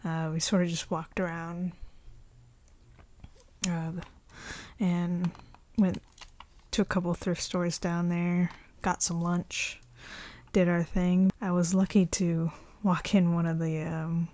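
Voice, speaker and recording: A woman speaking softly and often in a near whisper, in a relaxed, chatty ASMR show-and-tell. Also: lowish voice, slow, no echo. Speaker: ASMR video creator showing a collection.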